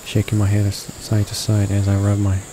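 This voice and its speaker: Flat-voiced man reading, low and noisy. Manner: halting, stumbles and corrects himself. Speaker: video game walkthrough host reading aloud. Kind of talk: read-along narration of a visual novel. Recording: noisy home recording with constant background hiss.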